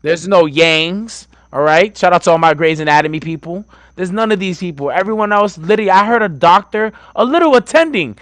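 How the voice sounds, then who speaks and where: A man's voice: high voice, brisk, hardly any pauses, fairly bright tone, no echo. A man co-hosting a podcast, talking in a rowdy, joking way and swearing a lot. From Puerto Rico. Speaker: podcast co-host. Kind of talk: casual profane banter.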